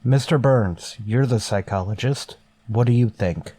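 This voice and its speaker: A man playing a stern, wary starship captain in a sci-fi radio drama, giving curt orders with measured authority. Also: mid-range voice, dark tone, breathy edge, no room sound. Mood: grave, deliberating. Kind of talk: golden age sci-fi radio drama acting.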